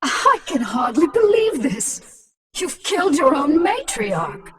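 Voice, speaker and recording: A woman's voice: high-pitched, very animated, takes it slowly, far from the mic, full character voice. A woman playing a cruel, imperious alien swarm queen in a video game, commanding and taunting. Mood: gloating, scornful.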